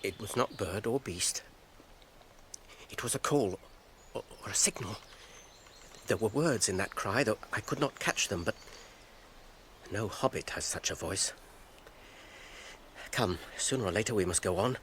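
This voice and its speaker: An actor playing an earnest, anxious gentleman hobbit in a radio drama, measured and grave, with an English accent. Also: fairly low pitch, slight background noise.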